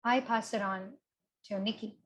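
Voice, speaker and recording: A woman's voice: fairly high pitch, fairly even intonation, normal speed. A woman introducing herself on a muffled group video call. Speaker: adult ally of a youth group.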